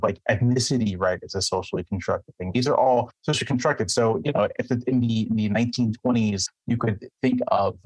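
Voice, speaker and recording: A man making his case in a formal debate, measured and often restarting his sentences. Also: on the low side, no echo, low-quality recording. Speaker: newspaper columnist and debater.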